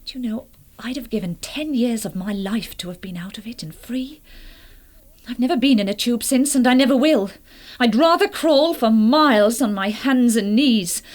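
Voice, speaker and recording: An actress playing a high-strung, melodramatic wife in a vintage radio drama, speaking in breathless, halting repetitions. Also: female voice, a little mellow, close to an everyday voice. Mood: intense.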